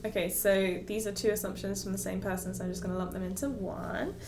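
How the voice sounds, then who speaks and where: A young woman in her twenties, raised in Dubai, talks casually to camera with frequent giggles and a steady stream of fillers. Her voice sits at an ordinary middle pitch. The recording has a noticeable room echo around it. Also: quick pace, a little hiss or hum. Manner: chatty, giggly, with many fillers. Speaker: vlogger and yoga teacher.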